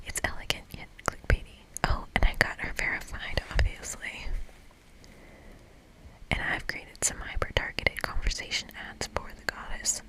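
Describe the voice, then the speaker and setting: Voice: a bit bright, close to an everyday voice, low-quality recording. A smug, efficient game character put on by an ASMR reader who talks in a whisper. The delivery is precise and self-satisfied, that of a calculating know-it-all.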